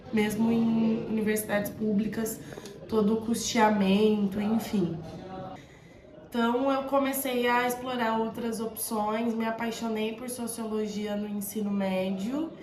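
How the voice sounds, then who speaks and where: A woman who teaches at a public school, speaking in a measured, reflective way in a documentary interview. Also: a little high. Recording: roomy echo with music underneath.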